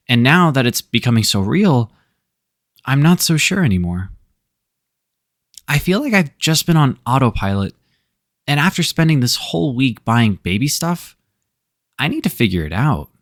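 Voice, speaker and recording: A man's voice: mid-range voice, no room sound, studio quality. A man hosting a storytelling show, reading online stories aloud with wry, sarcastic asides. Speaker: storyteller host.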